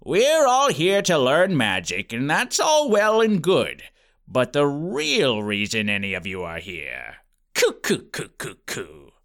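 A man's voice: mid-range voice, big pitch swings, slow, vocal fry, a bit bright, voice pushed down, full character voice. A man voicing a creepy, theatrical school principal with an ominous delivery.

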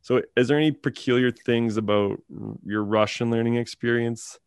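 A man's voice: medium pitch, fairly even intonation, moderate pace, smooth, dry recording, studio quality. A man hosting a casual chat, asking loose, filler-heavy questions.

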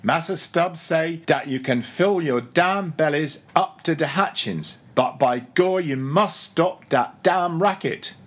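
Man acting a grumbling old ship's cook, preaching loudly. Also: on the high side, slow, few pauses, voice pushed up. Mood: sullen, indignant. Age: older. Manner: grumbling, solemn mock-preacher delivery. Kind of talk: audiobook character voice.

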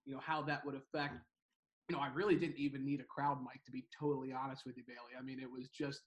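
A man's voice: mid-range voice, flat delivery, fast talker. A young man co-hosting a casual sports podcast, rambling with many fillers and restarts. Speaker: baseball broadcaster, co-host.

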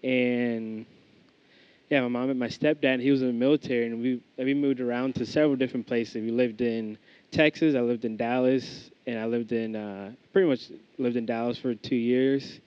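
A man's voice: mid-range voice, fairly even intonation, normal speed, a little mellow, no room sound. Young man, informal student preacher, rambling with fillers, slightly muffled, dry close sound. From United States. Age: young adult. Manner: casual, rambling, many fillers and restarts. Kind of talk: informal sermon with prayer and testimony.

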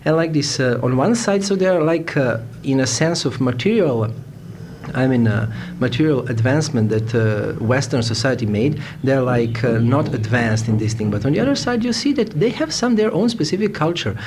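A man's voice: mid-range voice, lively intonation, normal speed. A man from Serbia with a Serbian accent, answering interview questions conversationally and searching for words in English. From Novi Sad, Serbia. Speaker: interviewee, Hare Krishna devotee. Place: a room in India. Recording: faint background noise, informal room interview.

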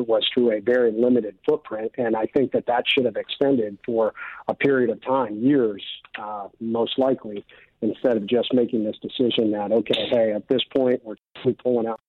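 A man's voice: medium pitch, steady tone, moderate pace, dry recording. A middle-aged man with an American accent gives his opinions as an interview guest, speaking earnestly in an emphatic, conversational way. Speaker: interview guest, military veteran. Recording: dull lo-fi radio-like sound.

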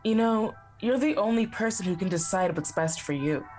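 A woman with a Canadian accent plays a calm, absent-minded therapist who gives stock advice. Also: mid-range voice, normal speed, soft background music, natural voice. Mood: soothing. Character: distracted, bland female therapist.

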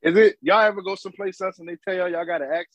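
A man's voice: sits high, rapid-fire, lo-fi sound. A man with a mixed New York and Southern accent, co-hosting a podcast in loose, profane, joking casual chat. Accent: New York and Southern US mix. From South Carolina. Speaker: podcast co-host.

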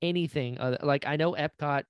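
A man's voice: a little high, fairly quick, smooth, no room sound, clean studio sound. A man hosting a podcast interview in a casual, agreeable way, often speaking in short, conversational responses.

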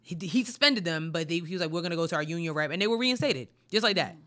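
A woman co-hosting a casual chat, with a lowish voice that still sounds bright. She drags out her words and hammers them one by one when she gets worked up. Her talk is relaxed and conversational. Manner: emphatic, slows down to stress indignant points. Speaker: podcast co-host. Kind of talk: casual chat about news.

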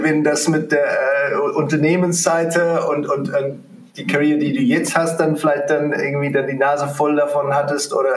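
A man interviewing a guest, asking long, halting questions full of restarts and fillers. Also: on the high side. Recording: roomy, distant mic, crowd noise.

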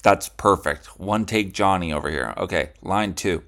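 Low-voiced man, casual and teasing. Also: normal speed, fairly bright tone. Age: adult son of the other speaker. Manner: teasing, profane, encouraging. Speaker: podcast host. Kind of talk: casual joking chat.

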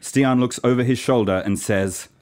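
A man's voice: medium pitch, moderate pace. A man from Norway giving scripted narration for a radio play. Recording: clear background noise.

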